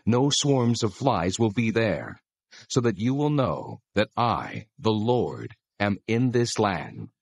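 A man voicing a stern, solemn God who proclaims commands deliberately in a dramatized scripture reading. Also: lowish voice, very animated, gravelly, husky, dry recording, clean studio sound, exaggerated voice.

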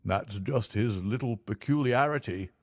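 A man voicing a genial, wry gentleman with a teasing, knowing manner in audiobook dialogue. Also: fairly low pitch, unhurried, dry recording, studio quality.

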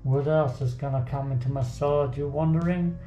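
A man's voice: mid-range voice, steady tone, fairly quick, warm tone. A young man with a German accent, joking to himself as he cooks in a small home kitchen for his vlog. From German-speaking country. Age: young adult. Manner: joking, self-talk while working. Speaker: cooking vlogger. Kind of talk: casual cooking vlog. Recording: echoey kitchen, faint music.

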